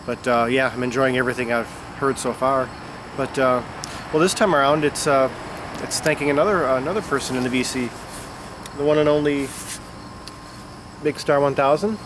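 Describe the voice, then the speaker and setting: A man's voice: medium pitch, steady tone, moderate pace. A man with a stuffed-up nose, chatting casually about music outdoors and rambling with many fillers. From Chicago area. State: congested, stuffed up. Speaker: record-collecting vlogger. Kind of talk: casual unscripted chat to camera. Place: outdoors on a summer afternoon. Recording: outdoor insect and traffic noise.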